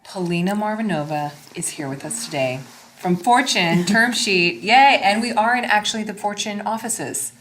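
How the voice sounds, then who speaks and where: A woman hosting a podcast interview in an office, bubbly and enthusiastic, with a lively, jumpy way of asking questions. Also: moderate pace, slight background noise. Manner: bubbly, enthusiastic, jumps around in pitch. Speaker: podcast host.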